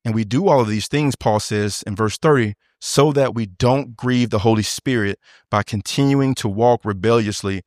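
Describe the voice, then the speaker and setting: A man with a deep voice preaches an expository sermon, walking his congregation through the text step by step. He talks to them directly, asking them questions and adding small asides. His voice comes in close and dry on the church microphone. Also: moderate pace, hardly any pauses, a little mellow, no echo. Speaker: pastor.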